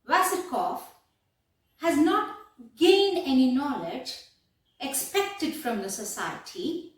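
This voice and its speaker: A woman with an Indian English accent lecturing as a college professor, deliberate and emphatic, repeating phrases for her students. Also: sits high. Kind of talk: classroom lecture on a play. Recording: distant mic in echoey room.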